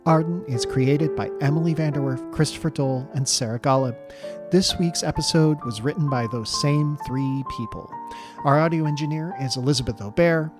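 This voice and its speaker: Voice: mid-range voice, normal speed. An announcer reading scripted end credits for a podcast. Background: music, guitar.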